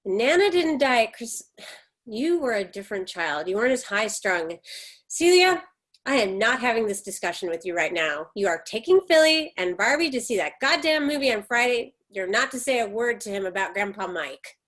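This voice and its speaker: A woman's voice: on the high side, very animated, moderate pace, a bit bright. A woman playing a stoic, controlling middle-aged American mother in a play reading, speaking in a firm, insistent way. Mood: exasperated, stern. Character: stoic, controlling 48-year-old American mother, curt and short-tempered.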